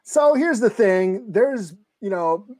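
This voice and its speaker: A man co-hosting a podcast, speaking in a relaxed, halting way, recapping a show. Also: high-pitched. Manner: relaxed, halting, many fillers and restarts. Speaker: podcast co-host. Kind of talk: casual chat, recapping a show.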